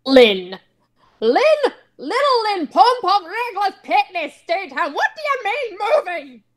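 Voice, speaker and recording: Woman voicing a high, emotional cartoon toon parent. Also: sing-song, slow pace, heavily acted. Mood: shocked, yelling.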